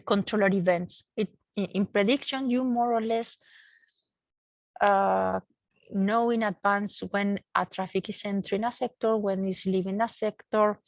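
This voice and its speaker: A woman's voice: mid-range voice, fairly even intonation, a little slow, dry recording, poor audio. A woman research engineer giving a technical explanation in a seminar, searching for words and often repeating them as she goes.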